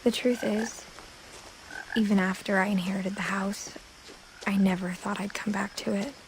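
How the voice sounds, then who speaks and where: A woman playing a reflective seventeen-year-old girl, quietly narrating a story game in measured first person. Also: mid-range voice, level tone, close to an everyday voice. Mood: pensive, subdued. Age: teenager. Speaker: narrator protagonist. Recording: clear outdoor nature sounds with insects. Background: ambient insects and nature noise.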